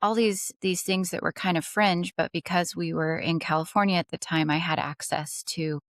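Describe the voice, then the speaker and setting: Woman, 40s, interview guest, low voice, dry sound, earnest and fluent. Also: steady tone, normal speed, no room sound. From Dubai. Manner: earnest, fluent storytelling with occasional restarts. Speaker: podcast guest. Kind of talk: conversational interview answers.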